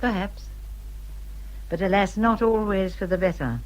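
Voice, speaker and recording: An English actress playing a worldly, judgmental older gentlewoman in a period radio drama, confiding with stately emphasis. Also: mid-range voice, a little slow, close to an everyday voice, low-quality recording. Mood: disapproving.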